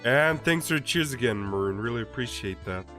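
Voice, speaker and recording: A man's voice: medium pitch, a little fast, music in the background. Stuffy-nosed young man reading casually. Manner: relaxed, laughs often, lots of fillers. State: stuffy, congested from a cold. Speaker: streamer reading a visual novel aloud. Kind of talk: live-streamed casual reading and chat.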